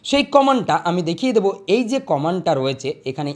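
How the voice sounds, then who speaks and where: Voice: medium pitch, very animated, fast, darkish tone. A tutorial host giving a step-by-step voice-over, often repeating phrases and checking in with the listener.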